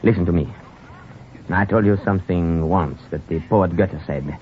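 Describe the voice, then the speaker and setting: A steady, tender confidant played by a man with a very low voice, consoling in earnest. The delivery is measured 1950s radio drama acting, on a muffled old broadcast. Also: slight background noise, close to an everyday voice.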